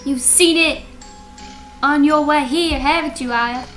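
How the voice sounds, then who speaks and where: A ghostly mother from a horror game, voiced by a woman. She is bitter, possessive and sorrowful. The voice is high and forceful, delivered slowly and dramatically over faint music. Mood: stern. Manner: dramatic, deliberate. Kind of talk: dubbing dialogue of a horror game.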